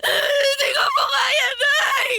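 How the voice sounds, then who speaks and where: A woman's voice: very high-pitched, flat delivery, slow, vocal fry, full character voice. A woman playing an anguished young daughter in a Filipino radio drama, pleading and wailing for her parent. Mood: grieving, sobbing. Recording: loud background music.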